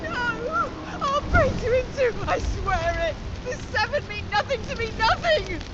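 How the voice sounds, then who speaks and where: A woman's voice: very high-pitched, expressive, measured pace, a loud crowd, heavy background noise. A woman playing a frantic, defiant captive in a video game, crying out in distress. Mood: furious, terrified. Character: desperate, defiant captive woman facing sacrifice. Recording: dull sound, music behind.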